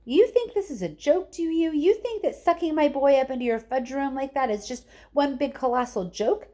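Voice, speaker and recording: A woman voicing a hysterical, indignant mother in a children's story, with shrill, bossy exclamations. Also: expressive, normal speed, dark tone. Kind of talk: children's storybook read aloud.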